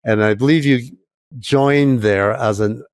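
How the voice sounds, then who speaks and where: A man hosting a podcast interview, asking measured, prepared questions. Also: medium pitch, dry recording, studio quality. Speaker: podcast host.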